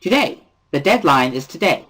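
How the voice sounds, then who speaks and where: A high, loud teenage schoolgirl voice, acted by a man, bouncy and cheerful even while scolding. The delivery is emphatic and bossy, with wide swings in pitch, in an amateur visual-novel dramatic reading. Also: moderate pace, faint music behind, heavily acted. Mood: cheerfully scolding.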